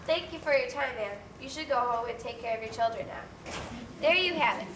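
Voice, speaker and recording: Echoey distant stage recording, woman playing earnest high-pitched lady reporter for fairy tale news. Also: expressive, fairly quick, close to an everyday voice. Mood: earnest, reporting. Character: earnest female nursery-rhyme news reporter. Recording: echoey stage, distant mic, faint noise.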